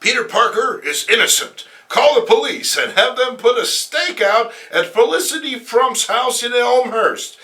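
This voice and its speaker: A man's voice: extremely high pitch, lively intonation, a little slow, few pauses, sharp tone, voice pushed up, heavily acted. A man voicing a cocky, wisecracking young comic-book superhero with a breezy, mocking manner. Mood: urgent.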